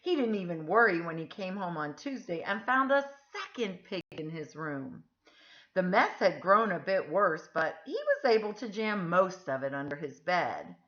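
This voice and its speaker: A woman reading a picture book aloud to children in an expressive, emphatic storytelling style. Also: fairly low pitch, highs cut off. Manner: animated, deliberate, emphatic phrasing.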